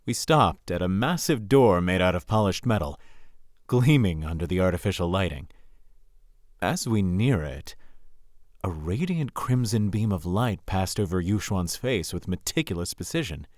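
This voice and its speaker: A man's voice: low voice, expressive, normal speed, darkish tone, no room sound, clean studio sound. A man reading scripted story narration for a visual novel game.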